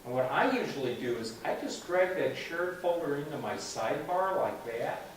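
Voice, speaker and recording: A man's voice: a little high, lively intonation, normal speed, a little hiss or hum. Chatty man in an echoey room. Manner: chatty, explains step by step with frequent "okay". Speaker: club meeting presenter. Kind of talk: casual computer club demo. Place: meeting room, heard from a distance. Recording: echoey room, far from the mic.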